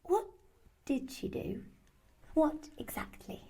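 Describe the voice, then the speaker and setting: A woman's voice: high-pitched, very animated, takes it slowly, creaky, dark tone, exaggerated voice. An actress playing a sly, falsely sweet young serving girl with a coy, dry delivery in an audio horror drama. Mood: wary, feigning innocence.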